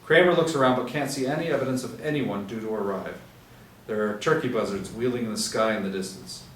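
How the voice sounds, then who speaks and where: A man's voice: medium pitch, brisk. A man reading out scripted screenplay action and stage directions as the narrator. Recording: echoey room, distant mic, faint noise.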